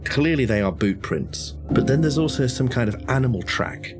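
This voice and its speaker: A man's voice: medium pitch, lively intonation, normal speed, music in the background. A man narrating a tabletop horror game as game master, hesitant with many restarts.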